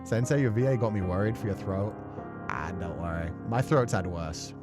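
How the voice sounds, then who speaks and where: A man's voice: fairly low pitch, moderate pace. A man livestreaming in a casual, rambling, thoughtful way, swearing now and then as he chats with viewers. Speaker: streamer and voice actor who plays visual novels. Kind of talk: casual livestream chat, reading and answering viewer comments. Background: music.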